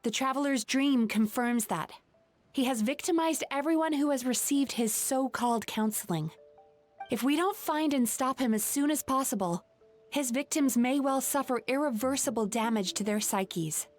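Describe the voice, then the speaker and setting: A woman's voice: high-pitched, normal speed, close to an everyday voice. A woman voicing a caring, composed young female therapist in an anime game, articulate and polite but firm. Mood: grave, insistent. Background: faint background music.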